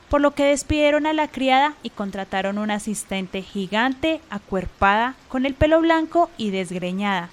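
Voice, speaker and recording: A woman narrating a radio drama in a scripted storytelling style. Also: sits high.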